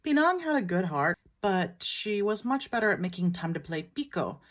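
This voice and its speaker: A Filipino American woman who tells a folktale in a relaxed, matter-of-fact way. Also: lowish voice, moderate pace. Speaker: storyteller. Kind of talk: casual storytelling.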